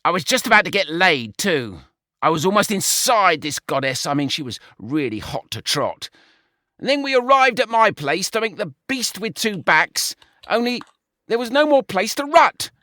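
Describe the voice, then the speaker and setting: A man's voice: high-pitched, very animated, normal speed, pushed and bright, no room sound, clean studio sound, pitched above the performer's own voice, exaggerated voice. A man voicing a lecherous, slovenly male pig who boasts, dismisses and wails. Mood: wailing.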